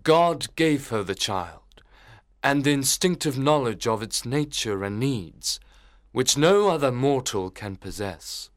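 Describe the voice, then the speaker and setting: A man playing a troubled young minister in a dramatized audiobook, speaking in a measured, earnest, preacherly way. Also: medium pitch, animated, no room sound, studio quality.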